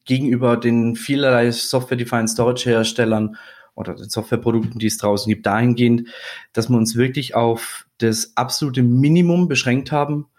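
A man from Swabia, a podcast guest, talking in a relaxed, joking way and explaining his work fluently. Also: medium pitch, fairly quick. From Swabia, Germany. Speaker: podcast guest, regional business manager. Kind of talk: casual podcast interview.

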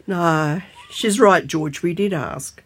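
An actress playing a stubborn, gullible older grandmother in a comic audio drama, husky-voiced and full of indignant questions. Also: on the low side, slow. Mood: curious.

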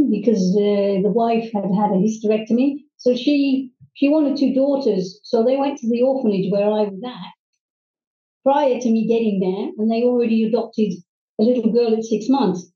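A middle-aged woman from Hong Kong, telling her life story as a guest, earnestly and haltingly, with many ums and restarts. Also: fairly high pitch, dark tone. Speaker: guest, private English teacher. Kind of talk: personal storytelling in interview. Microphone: video call. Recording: distant, roomy, dull video-call audio.

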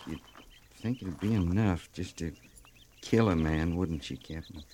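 An actor playing a plain-spoken, dutiful cavalry soldier in a 1950s radio western, with folksy rural phrasing. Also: male voice, low voice, a little slow, warm tone. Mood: grim. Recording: noisy old radio recording.

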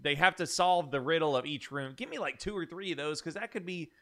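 A man's voice: a little high, a bit bright. A man hosting a casual film discussion, often repeating words as he feels for his point. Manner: halting, repeats words while thinking. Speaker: podcast host.

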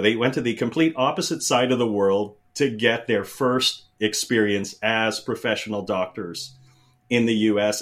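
A man's voice: medium pitch, fairly bright tone. A middle-aged man from the Philippines, a guest telling stories in a casual interview, rambling with many fillers and restarts.

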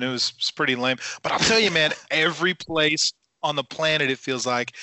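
A man's voice: medium pitch, sharp tone, clear, resonant tone, no room sound. A man hosting a sports podcast in an upbeat, conversational presenting style. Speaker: podcast host.

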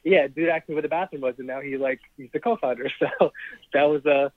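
A man in his thirties from Trinidad and Tobago, a startup founder telling his story in a relaxed interview, with lots of ums and restarts. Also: fairly high pitch, fairly quick, no echo. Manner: relaxed, laughs often, many ums and restarts. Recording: dry, tinny phone-like remote line.

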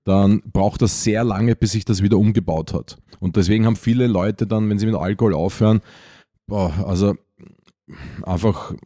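A man's voice: fairly low pitch, moderate pace, dark tone, no room sound, clean studio sound. A man with an Austrian accent co-hosting a podcast, explaining things informally and colloquially, often restarting his sentences as he goes. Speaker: podcast co-host.

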